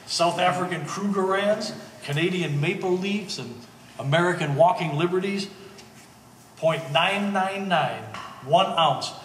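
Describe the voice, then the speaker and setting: In a reverberant hall, some way from the mic, a man plays a wry, excitable treasure hunter on stage. His voice is fairly high, and he muses aloud in halting bursts. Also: far from the mic. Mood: wry, explaining. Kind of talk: live one-act stage play. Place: art gallery performance space. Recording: echoey hall from afar, faint noise.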